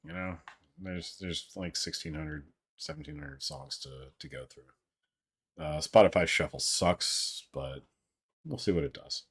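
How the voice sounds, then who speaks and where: A young man with a very low, deep voice chats loosely with his stream audience. His delivery is fairly monotone, full of "like" and "uh" and casual cursing. The voice sounds a bit worn and strained. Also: measured pace, husky. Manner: rambling, frequent swearing and filler words. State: voice strained from an old throat injury. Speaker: livestream host. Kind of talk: casual chat with viewers.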